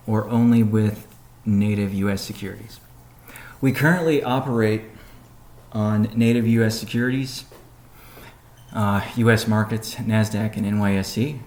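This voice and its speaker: A man giving a measured, businesslike update on a conference call, pausing between phrases as he reads out points and answers questions. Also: fairly low pitch. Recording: noisy conference call audio.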